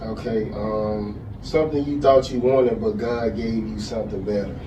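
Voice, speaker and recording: A man's voice: lowish voice, fairly even intonation, moderate pace. Male host, laid-back, slangy, roomy, noisy. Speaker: podcast host. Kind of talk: casual interview. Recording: echoey room, distant mic, background noise.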